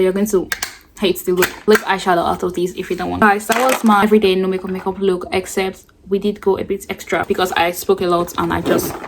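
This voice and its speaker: A teenage girl talks freely as she does her makeup on camera, wandering from topic to topic. Her pitch sits in a middle range, and her manner is loose and chatty. A faint hiss runs underneath. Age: late teens. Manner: rambling, chatty, self-described yapping. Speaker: beauty vlogger. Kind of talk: get-ready-with-me chat while doing makeup.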